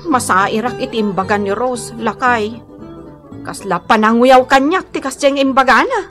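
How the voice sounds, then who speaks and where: Actress as a high, plaintive Ilocano housewife. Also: female voice, moderate pace, music in the background, close to an everyday voice. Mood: wistful hurt. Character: poor, envious Filipino wife and mother, sighing. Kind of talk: Ilocano radio comedy drama acting.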